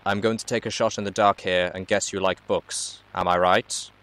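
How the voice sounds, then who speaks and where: A man's voice: low-pitched, steady tone, normal speed, fairly bright tone. A man voicing a mysterious hacker who speaks through a robot in a storybook reading, a blunt, helpful guide with a casual, matter-of-fact manner. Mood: advising.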